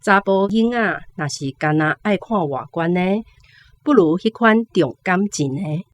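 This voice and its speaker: Worried Taiwanese mother, lowish voice. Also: lively intonation, a little slow, slight background noise. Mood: earnest advice. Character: worried mother advising her grown daughter. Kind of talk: radio drama acting.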